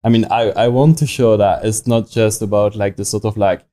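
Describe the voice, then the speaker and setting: Low, mellow young Frenchman chatting. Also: fairly even intonation, a little fast. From France. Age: young adult. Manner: informal, frequent self-quoting in anecdotes. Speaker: podcast guest, watch company founder. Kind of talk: casual conversational storytelling.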